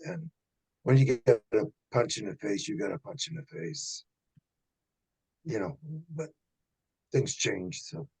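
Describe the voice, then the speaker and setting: Husky, dark-voiced older man. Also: medium pitch, lively intonation, measured pace, long pauses, poor audio. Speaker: senior martial arts teacher on a panel. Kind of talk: casual chat.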